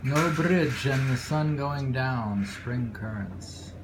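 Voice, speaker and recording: A man reading haiku aloud with casual commentary, in an unhurried, musing way with pauses between phrases. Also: mid-range voice, animated. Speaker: poetry reader and commentator. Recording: clearly noisy home recording with ambience.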